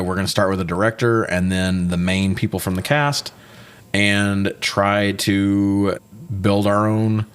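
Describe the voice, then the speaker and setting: A man co-hosting a casual movie review, talking loosely and swearing often. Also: low voice. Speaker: podcast co-host reviewing films.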